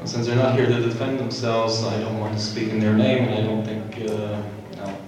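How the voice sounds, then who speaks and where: A man's voice: on the low side, little pitch movement, moderate pace, a crowd in the background. A man moderating a public panel discussion, recorded in an echoey hall from a distance.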